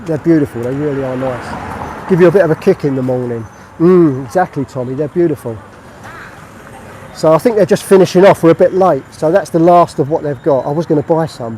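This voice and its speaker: A man's voice: on the high side, warm tone, a little hiss or hum, poor audio. A middle-aged man from England, chatting casually on a live-stream walking tour of a street market. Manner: chatty, friendly, narrating while walking. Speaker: vlogger.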